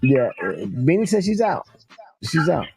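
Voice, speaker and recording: An animated man hosting a morning radio show, his voice swinging up and down as he riffs. The tone is slightly husky, and he stumbles over and repeats words as the banter runs on. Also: fairly high pitch, normal speed, dry recording. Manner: animated, exclaiming, repeats himself.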